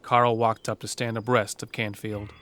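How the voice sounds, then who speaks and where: A man's voice: on the low side, flat delivery, moderate pace, fairly bright tone. Male horror story narrator over music. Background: music under the narration.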